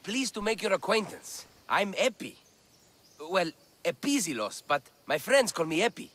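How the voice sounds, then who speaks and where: An actor playing an eager, bookish scholar of antiquities in ancient Greece, with florid, theatrical enthusiasm in video game dialogue. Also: male voice, high voice, big pitch swings, normal speed, fairly bright tone.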